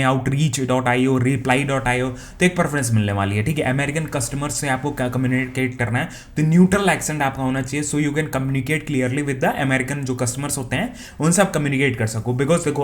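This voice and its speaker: Young indian guy talking thru job listings, chatty, keeps asking "ok?", mid pitch. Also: fairly quick, hardly any pauses. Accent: Indian English. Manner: conversational, frequent restarts and "theek hai?" check-ins. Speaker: career advice YouTuber. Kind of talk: casual explainer talk, Hindi-English mix.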